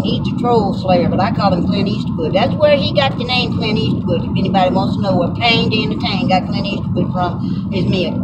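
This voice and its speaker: Loud car noise surrounds a man with a Southern US accent, picked up from some distance away. His voice sits quite high for a man. He rambles along in a folksy, colloquial vlog style as he drives. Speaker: vlogger. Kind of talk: casual rambling chat while driving. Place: inside a moving car on a road.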